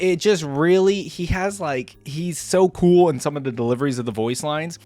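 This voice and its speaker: A man's voice: medium pitch, dry recording. A man hosting a reaction video, giving casual, unscripted commentary with enthusiastic, emphatic repetition.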